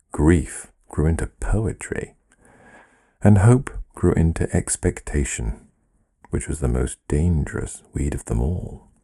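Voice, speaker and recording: Male storyteller for literary fable: low, husky, measured delivery with wry, expressive swings and deliberate pauses. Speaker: storyteller reading own written fable. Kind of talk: literary fairy-tale narration.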